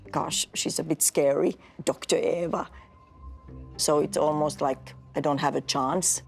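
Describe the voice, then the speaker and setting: A woman from Finland, a medical doctor speaking expressively on a reality dinner show, sometimes animated and sometimes soft. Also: low-pitched.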